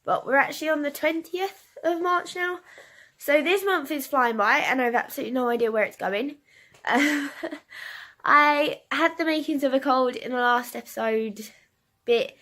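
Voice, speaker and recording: A woman's voice: high voice, moderate pace, a bit bright. A young woman chatting casually in a video diary, congested with a cold and a cough. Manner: chatty and rambling, with many fillers. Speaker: vlogger.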